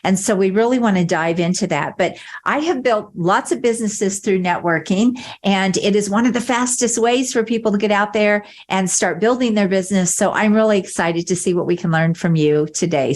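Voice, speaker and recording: A woman's voice: mid-range voice, normal speed, few pauses. A woman hosting a business podcast, upbeat and enthusiastic as she introduces her guest. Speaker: podcast host.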